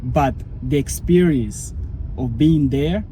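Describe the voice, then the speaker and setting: A man's voice: medium pitch, takes it slowly, dark tone, a little hiss or hum, rough recording. A man whose first language is Portuguese, a startup founder telling his story in a rambling, casual vlog. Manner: rambling, searching for words.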